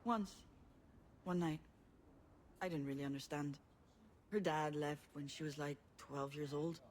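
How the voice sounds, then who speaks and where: A woman's voice: deep voice, steady tone, measured pace, darkish tone, slight background noise. A woman playing a vulnerable but blunt younger sister in a naturalistic video game drama, speaking candidly. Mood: hesitant, subdued.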